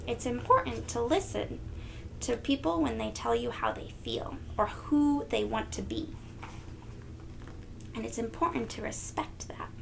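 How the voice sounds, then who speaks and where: A woman reads a storybook to little children in a high voice with a creaky edge. She is expressive and gentle, taking her time and talking straight to the listeners. There is faint noise in the background. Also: measured pace, poor audio. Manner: expressive, gentle, addressing young children directly. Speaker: children's read-aloud host. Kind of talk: storybook read-aloud for young children.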